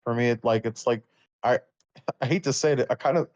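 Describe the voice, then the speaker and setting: A man's voice: medium pitch, brisk, a little mellow. A man chatting casually in a rambling, slangy way over a dull, lo-fi voice call. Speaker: guest being personality-typed. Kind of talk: casual chat.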